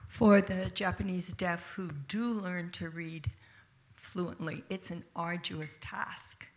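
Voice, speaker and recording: Brief: woman narrator, slow unhurried read, some vocal fry, soft measured delivery. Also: fairly low pitch, fairly even intonation. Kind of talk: scripted narration.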